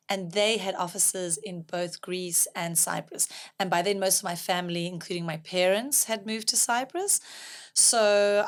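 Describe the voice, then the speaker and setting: Woman in her 40s telling her story, raised in south africa, easygoing and thoughtful. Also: on the low side. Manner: reflective, warm storytelling with frequent restarts. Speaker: film director and producer, guest. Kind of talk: casual interview conversation.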